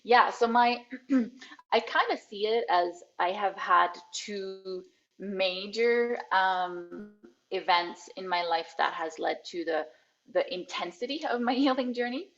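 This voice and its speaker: A woman's voice: medium pitch, takes it slowly, a bit bright. A woman in her forties, a coach and healer, telling her personal story as a podcast guest, reflective and laughing often mid-sentence. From Sweden. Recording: dull lo-fi audio.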